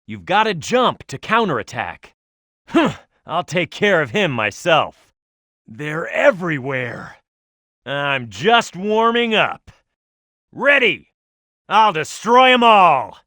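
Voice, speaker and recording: A man's voice: fairly high pitch, very animated, slow pace, bright, forceful tone, dry recording, clean studio sound, full character voice. A man voicing a tough, hot-headed echidna brawler in a video game, confident and brash, with punchy, emphatic delivery. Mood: urgent, instructive, then confident, combative. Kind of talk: video game voice acting, tutorial hints and battle shouts.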